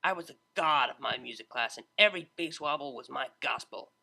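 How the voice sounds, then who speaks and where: A man's voice: mid-range voice, a little fast, creaky, pushed and bright. A man voicing a laid-back young female unicorn DJ, talking in a casual, slangy, self-mocking way. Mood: passionate. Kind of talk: fan audiobook character voice.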